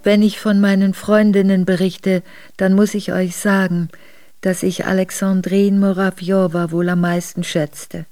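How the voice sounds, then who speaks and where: An actress playing an earnest, reflective exiled noblewoman, narrating her memoir in a measured way for a radio drama. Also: medium pitch, little pitch movement, warm tone, soft background music, close to an everyday voice. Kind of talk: literary first-person radio drama narration.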